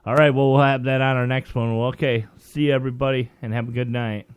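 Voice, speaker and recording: A man's voice: mid-range voice, little pitch movement, quick pace, a little hiss or hum. A man in his forties hosting a casual online talk show, laughing often and stumbling through restarts as he wraps up. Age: 40s. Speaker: host. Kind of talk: casual podcast banter.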